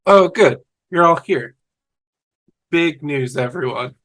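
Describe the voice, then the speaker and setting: A man on a video call table read, playing a dim, sweet, excitable young slacker. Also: a little high, slow. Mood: excited, goofy. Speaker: amateur table-read actor. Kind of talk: fan table read of TV comedy script.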